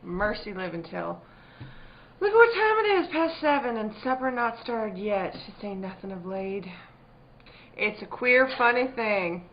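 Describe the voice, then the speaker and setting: Radio play casting: actress as brisk farm widow, medium-pitched, rustic and fussing, scolding yet protective, dull recording. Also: female voice, big pitch swings, a little hiss or hum. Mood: chiding, wistful. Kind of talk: old-time radio drama acting. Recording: muffled live stage recording.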